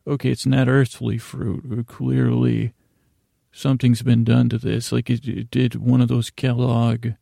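Male sleep podcast host: dark, relaxed tone, dry close sound, meandering and stumbling delivery, gently comedic rambling. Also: mid-range voice, vocal fry, no room sound. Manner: halting, meandering, stumbles over words, circles back. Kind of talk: rambling, comedic bedtime monologue.

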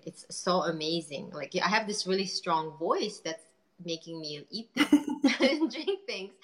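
A woman's voice: lowish voice, pushed and bright, rough recording. A woman entrepreneur chatting in an interview, talking loosely with many fillers and restarts. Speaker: guest entrepreneur. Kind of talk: casual interview chat.

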